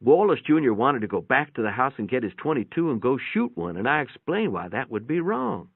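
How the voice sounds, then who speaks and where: A man's voice: mid-range voice, big pitch swings, a little fast, no room sound, phone-quality sound. A man giving a folksy, plainspoken dramatic reading of a first-person story, with a radio-like sound. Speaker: narrator, reader. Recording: band-limited, radio-like sound.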